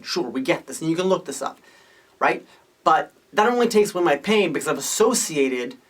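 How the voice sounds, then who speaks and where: A podcast host giving a casual, unscripted opinion monologue, rambling and thinking aloud with rhetorical questions. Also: medium pitch. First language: Hungarian or English, multilingual.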